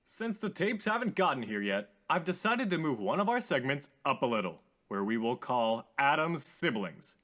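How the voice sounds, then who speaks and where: A man playing a courteous, theatrical radio call-in host with formal, drawn-out greetings in a radio drama. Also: mid-range voice, very animated, a bit bright. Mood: thoughtful.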